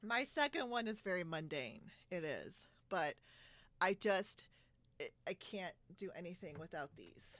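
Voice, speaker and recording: A woman's voice: on the low side, lively intonation, unhurried, fairly bright tone, no room sound. A woman chatting casually in a bubbly, giggly way, recorded at home on a video call. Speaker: podcast host. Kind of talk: casual chat about sewing favorites.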